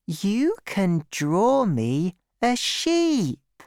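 A narrator voicing a small boy in a storybook, gentle but insistent, with slow, deliberate and simple speech. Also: medium pitch, wildly swooping pitch, smooth, no echo, studio quality, pitched above the performer's own voice, exaggerated voice. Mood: calm, slow. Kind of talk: storybook character voice.

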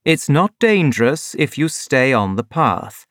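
A reader voicing a composed male detective who explains and gives orders in measured tones. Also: mid-range voice, no room sound, studio quality. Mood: calm. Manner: calm, measured, authoritative. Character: calm, commanding male detective.

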